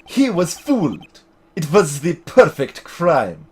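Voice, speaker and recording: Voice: medium pitch, huge pitch swings, darkish tone, slight background noise. A performer voicing a vengeful, unhinged old male prosecutor in a video game, seething and theatrical. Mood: grim, gloating. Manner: theatrical, seething, dramatic drawn-out delivery.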